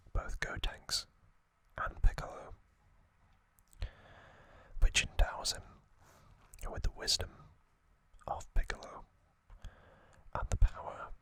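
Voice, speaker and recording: Slow, close-up whispering man. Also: sharp tone, dry recording. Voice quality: breathy, unvoiced whisper. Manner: slow, soothing, short deliberate phrases. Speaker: ASMR host. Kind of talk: whispered ASMR trivia narration. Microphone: close microphone.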